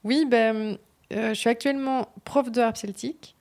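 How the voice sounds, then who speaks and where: A woman reads a scripted project presentation in a warm, mellow voice. Her delivery is clear, with small hesitations between phrases. She speaks as co-host of a bicycle radio project. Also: fairly high pitch, fairly even intonation, moderate pace.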